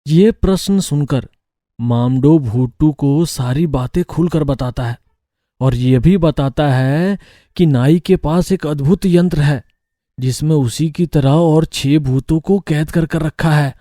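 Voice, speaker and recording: A male narrator reads a scripted story. His voice is soft-edged and a little high, slow-paced, in a dry recording. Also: dry recording.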